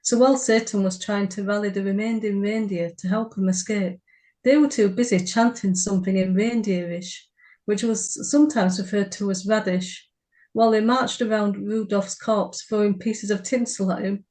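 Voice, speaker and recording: Mid-pitched woman reading stories. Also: fairly even intonation, normal speed, darkish tone, poor audio. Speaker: podcast co-host and writer. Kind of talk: story reading.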